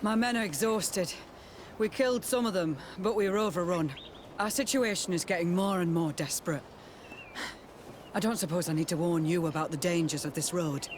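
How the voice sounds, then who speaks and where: A man's voice: high voice, moderate pace, slight background noise, lightly acted. A man playing a weary, embittered military captain in a video game cutscene, blunt and sardonic, loyal to his soldiers. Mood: weary, strained.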